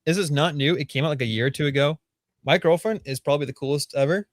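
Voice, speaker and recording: A man's voice: a little high, fast talker, no room sound, clean studio sound. A young man co-hosting a casual live stream over a video call, talking in a chatty, rambling way with frequent laughs. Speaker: co-host.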